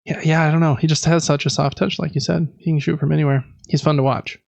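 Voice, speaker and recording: A man with a slightly high, lightly creaky voice chats casually as a podcast co-host. He is easygoing and talkative. The close, dry recording sounds a little dull. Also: little pitch movement, fast, a little mellow, no room sound. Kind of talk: casual chat.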